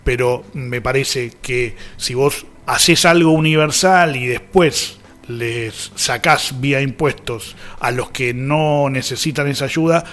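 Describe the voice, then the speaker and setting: A man's voice: mid-range voice, slow, fairly bright tone. Argentine man hosting casual radio talk. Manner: informal, conversational, thinking aloud. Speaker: radio host.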